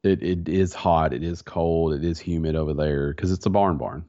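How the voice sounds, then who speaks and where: A man's voice: a bass voice, fairly even intonation, moderate pace, dark tone. A man with a slightly congested voice chatting in a laid-back, slangy way as a podcast co-host. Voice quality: congested, stuffy nose. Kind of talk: casual chat. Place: small home office in a converted barn. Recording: dull, lo-fi sound.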